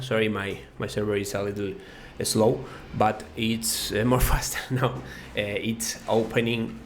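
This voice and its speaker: A man from Argentina with an Argentine Spanish accent, whose first language is Spanish, giving a halting, casual walkthrough of software with many fillers and restarts. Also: fairly low pitch, slight background noise. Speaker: web design course creator.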